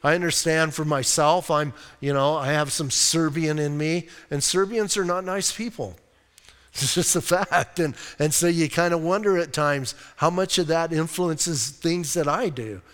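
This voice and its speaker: A male pastor preaching a conversational, emphatic sermon. He asks rhetorical questions and reads scripture slowly and deliberately. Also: on the high side, a bit bright. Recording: reverberant hall sound.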